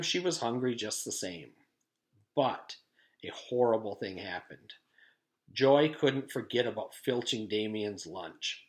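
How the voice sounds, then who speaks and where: A man's voice: medium pitch, fairly bright tone. A man reading a children's book aloud in the style of a teacher's storytime, narrating expressively.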